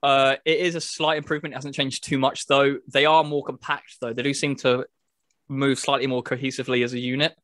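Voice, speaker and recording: A man's voice: medium pitch, level tone, a little fast, fairly bright tone, dry recording. A man chatting casually as a podcast host, reviewing a video game.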